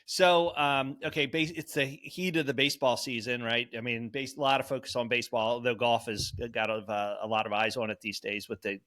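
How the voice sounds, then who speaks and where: A man's voice: mid-range voice, little pitch movement, a little fast, few pauses, pushed and bright. Rambling man telling news on a podcast. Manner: rambling, many fillers and self-corrections. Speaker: podcast co-host. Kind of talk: casual chat.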